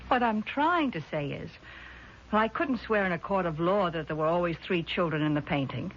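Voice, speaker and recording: An actress playing a worried, evasive woman in a 1970s radio drama, speaking in a hesitant, measured way. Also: lowish voice, lively intonation, lightly acted. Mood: anxious. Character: worried, evasive sister with designs on an estate. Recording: dull, noisy vintage radio recording.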